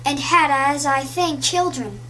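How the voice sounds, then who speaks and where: A woman voicing a gentle, wistful, thoughtful spirit of Christmas past in a storybook, with a light voice. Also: high voice, unhurried. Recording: clearly noisy audiobook recording.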